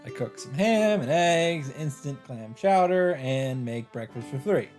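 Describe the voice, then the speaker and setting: A man's voice: medium pitch, animated, a little slow, a little mellow. A man hosting a let's play, reading visual novel narration aloud with casual asides. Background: game music.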